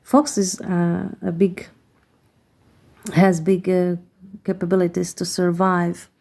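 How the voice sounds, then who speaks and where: Hungarian-accented woman reading tarot cards, hesitant and unhurried, dark gravelly voice. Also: lowish voice, monotone. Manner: hesitant, searching for words with many pauses. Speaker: tarot card reader. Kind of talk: slow, reflective card reading.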